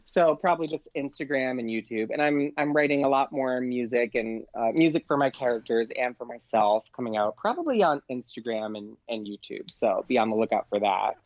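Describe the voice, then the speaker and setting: A man's voice: mid-range voice, lively intonation, brisk, hardly any pauses, dry recording. Gushing man, dull lo-fi sound. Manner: gushing, effusive. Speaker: podcast guest, comedian. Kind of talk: casual interview chat.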